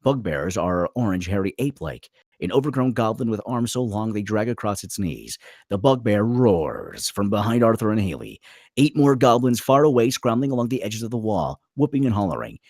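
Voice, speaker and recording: A man's voice: fairly low pitch, darkish tone, no room sound, studio quality. A man narrating the stage directions of a script dramatically, in clipped phrases.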